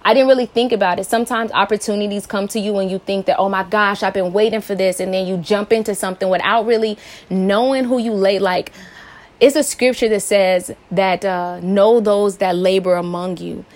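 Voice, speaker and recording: A woman's voice: medium pitch, steady tone, a little fast. Earnest woman in her late twenties. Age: late 20s. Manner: earnest, encouraging, many rhetorical questions. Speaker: podcast host. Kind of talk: personal advice monologue.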